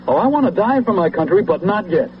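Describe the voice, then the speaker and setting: An actor playing a zealous, scheming political leader and veteran, speaking in a grandiose, persuasive oratorical way in a vintage radio drama. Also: male voice, high-pitched, big pitch swings, quick pace. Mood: fervent. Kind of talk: 1970s radio drama acting. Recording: muffled, noisy old radio broadcast.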